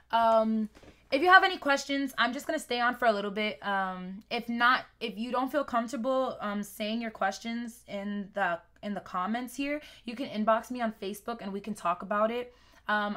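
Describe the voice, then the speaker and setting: A woman's voice: medium pitch, pushed and bright. A young woman fitness coach talking casually on a live webinar, rambling with lots of fillers, her voice a little worn. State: losing her voice.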